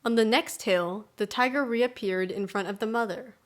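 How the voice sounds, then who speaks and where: A woman's voice: a little high, normal speed. A woman storyteller reading a scripted folktale narration.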